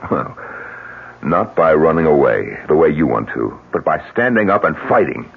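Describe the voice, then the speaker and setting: Deep, husky, blunt businessman. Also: very animated, moderate pace, exaggerated voice. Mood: challenging. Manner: forceful, emphatic, rhetorical. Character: blunt, combative, practical businessman, bereaved husband. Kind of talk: old-time radio drama acting. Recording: tinny, narrow old radio recording.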